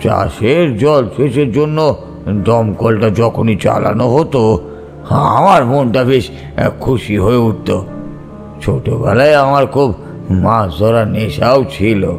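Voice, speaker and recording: A man's voice: on the low side, takes it slowly, gravelly. A man reading a scripted audio story as its narrator. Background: soft background music.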